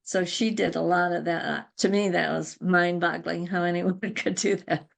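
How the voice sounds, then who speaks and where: Older woman with a creaky, low-set voice. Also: fairly even intonation, normal speed. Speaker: interview guest, crochet guild founder. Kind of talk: casual interview recollection.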